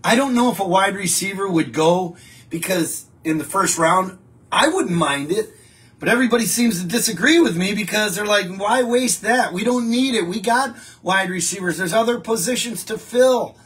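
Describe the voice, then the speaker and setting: A man's voice: high voice, animated, normal speed, fairly bright tone. A middle-aged man, hosting a casual live stream and answering viewer comments in a rambling, chatty way. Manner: chatty, rambling, frequent restarts. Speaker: show host reading viewer comments. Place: home studio with a wallpaper backdrop.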